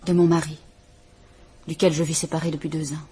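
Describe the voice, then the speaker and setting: An actress playing a frightened, formal estranged wife who is visiting a police inspector, speaking in a restrained, measured way. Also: female voice, deep voice, level tone, dark tone, slightly rough. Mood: fearful, measured. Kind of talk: 1950s French radio drama acting.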